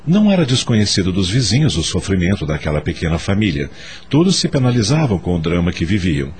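Low, expressive Brazilian male narrator. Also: quick pace, a little hiss or hum. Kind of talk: scripted dramatic radio drama narration.

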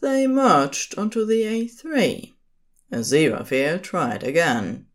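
Female narrator for audio fiction, very low register, lively intonation, measured storytelling.

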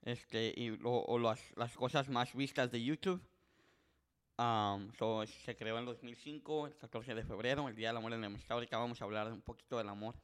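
Guy hosting a podcast, lots of "este", flips to english mid sentence, medium pitch. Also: steady tone, normal speed, fairly bright tone, clean tone. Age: 20s. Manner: rambling storyteller, many filler words, switches between Spanish and English. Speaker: podcast host. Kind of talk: casual bilingual chat.

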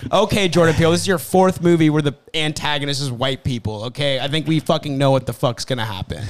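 A man's voice: fairly high pitch, quick pace. A young man co-hosting a podcast, joking and ranting in casual chat with friends. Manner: laughing, joking, animated rants. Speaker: podcast co-host.

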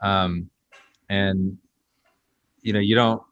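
A man's voice: low-pitched, fairly even intonation, very slow, no echo, highs cut off. A man hosting a casual conversation, telling stories in a chatty way with fillers.

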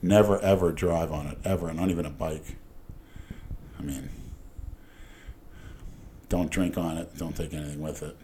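A man's voice: very deep voice, steady tone, moderate pace, long pauses, dark tone, slight background noise. Mumbling man on a casual podcast. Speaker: podcast co-host.

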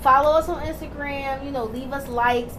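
High-pitched thirty-something woman, blunt chatty podcast host, noisy background hiss. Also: moderate pace. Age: 30s. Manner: blunt, animated, repeats herself. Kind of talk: casual chat.

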